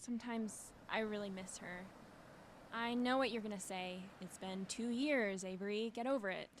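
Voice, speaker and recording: A woman's voice: mid-range voice, moderate pace, slight background noise, close to an everyday voice. A woman playing a grieving, withdrawn teenage girl with a dry, wry manner in an audio drama. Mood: melancholy. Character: grieving teenage girl, withdrawn, sardonic, missing her mother. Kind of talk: fiction podcast audio drama acting.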